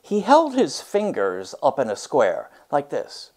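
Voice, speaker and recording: A man teaching and reading a storybook aloud with deliberate, emphatic, expressive phrasing. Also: on the high side.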